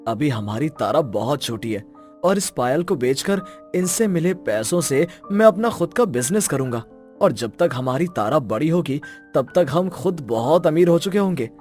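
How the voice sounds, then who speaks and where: A greedy village husband played by a man speaks in a raised, very animated voice, exclaiming and coaxing. It is storybook dialogue with faint music behind. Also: on the high side, moderate pace, natural voice.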